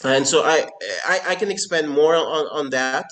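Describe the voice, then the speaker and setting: A man's voice: on the high side, moderate pace, fairly bright tone. Earnest man. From Curacao. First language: Papiamento. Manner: earnest, repeats words as he searches for phrasing. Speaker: company founder, interview guest. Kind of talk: explanatory business conversation. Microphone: remote call.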